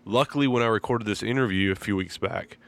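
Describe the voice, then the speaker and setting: A man's voice: on the low side, fast talker, creaky, a bit bright. Congested, froggy-voiced male podcast host. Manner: laid-back, rambling, apologetic. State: congested, sore throat from a sinus infection. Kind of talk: casual podcast intro and chat.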